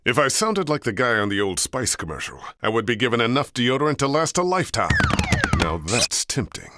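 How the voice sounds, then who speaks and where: A man doing a comic parody of a smooth, commanding deodorant-advert pitchman. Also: big pitch swings, brisk, pushed and bright, no echo, clean studio sound. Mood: smug, commanding.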